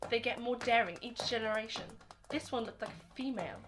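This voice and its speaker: A woman's voice: on the high side, brisk, background noise, lightly acted. A woman acting an earnest, formal young male cadet scientist in an amateur radio drama. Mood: alert.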